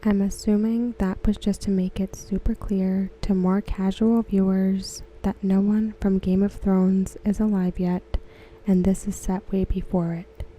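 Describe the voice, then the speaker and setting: Hushed, very mellow woman reading ASMR. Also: medium pitch, flat delivery, slight background noise, rough recording. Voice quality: soft, breathy, near-whispered. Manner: hushed, gentle, unhurried delivery. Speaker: ASMR creator. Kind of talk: soft-spoken ASMR read-aloud of written comments.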